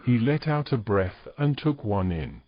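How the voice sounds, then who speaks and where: A man's voice: medium pitch, lively intonation, measured pace, no room sound, lo-fi sound. A man narrating a scripted story as the narrator of an audio drama.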